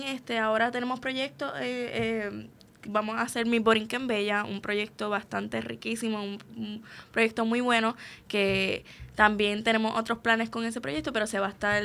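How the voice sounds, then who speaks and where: A woman's voice: a little high, little pitch movement, unhurried, creaky, fairly bright tone. A young woman with a Puerto Rican accent answering casually as an interview guest, often hesitant as she searches for words. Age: young adult. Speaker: interview guest, student actor.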